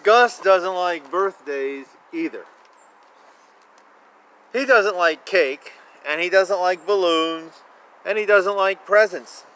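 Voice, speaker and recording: A man reading children's picture books aloud in a playful, expressive way, with casual asides and repeated phrases. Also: sits high, slow, smooth. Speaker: children's book reader. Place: outdoors. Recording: noisy outdoor ambience. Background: outdoor ambience, animal and vehicle sounds.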